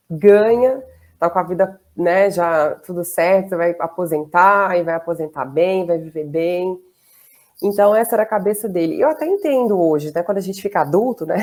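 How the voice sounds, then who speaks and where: A woman in her thirties with a Goiânia accent, chatting casually as an interview guest, with frequent fillers and small laughs. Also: on the low side. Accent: Goiás Brazilian Portuguese. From southern Brazil, raised in Goiás. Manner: chatty, warm laughs between phrases, many fillers.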